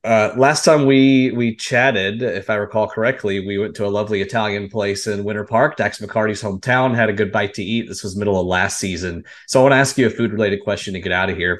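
Lower-voiced man hosting a sports podcast. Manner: informal, chatty. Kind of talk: casual podcast chat.

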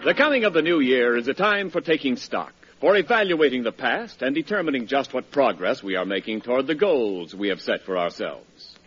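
A man's voice: mid-range voice, very animated, a little fast, few pauses, a bit bright, faint music behind. A man announcing and narrating in grand, scripted 1940s radio style. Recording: dull vintage radio recording, husky sound.

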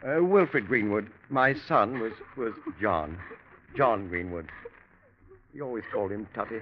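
An actor playing a respectable middle-aged father, formal, halting and grave, in 1940s radio acting. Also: on the low side, expressive. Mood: polite, grieving. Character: dignified, respectable older father, grave and resolute. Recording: noisy, dull vintage radio recording.

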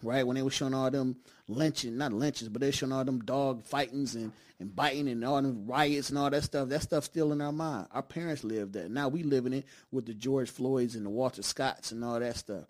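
A man's voice: mid-range voice, a little fast, few pauses. Detroit guy on a podcast, fired up, preachy, street talk, mid voice. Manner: passionate, preachy, street slang. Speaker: podcast guest. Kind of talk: casual studio conversation.

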